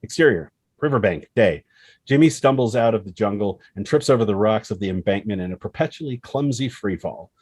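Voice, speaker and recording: A man narrates screenplay action with dramatic, expressive delivery in short punchy phrases. His voice is low and a little husky, recorded very dry. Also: a little fast, no room sound. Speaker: screenplay reader.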